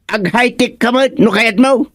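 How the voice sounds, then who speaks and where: A man with an Ilocano accent acting an easygoing, free-spending village councilor in a comedy radio drama, with carefree, drawn-out talk. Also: extremely high pitch, a bit bright, dry recording, studio quality. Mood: nonchalant, breezy.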